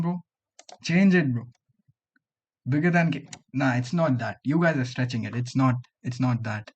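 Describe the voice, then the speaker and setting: A man's voice: medium pitch, muffled recording. A man in his twenties from Delhi with an Indian accent and Hindi as his mother tongue, chatting casually and slangily with livestream viewers. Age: 20s. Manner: slangy, bantering, often says bro. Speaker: livestream host, student coder.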